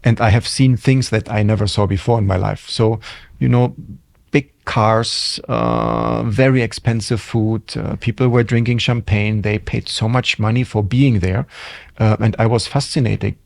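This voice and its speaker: A man's voice: lowish voice, fairly even intonation, normal speed, gravelly, darkish tone, no echo. A middle-aged man with a German accent, a hotel general manager telling his career story in a podcast interview, reflective and full of ums and restarts.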